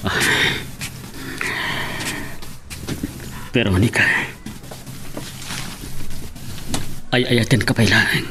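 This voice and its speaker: A man's voice: lowish voice, natural voice. A man acting a troubled, lovesick young son in a romantic radio drama. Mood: brooding. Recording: noisy background behind the voice.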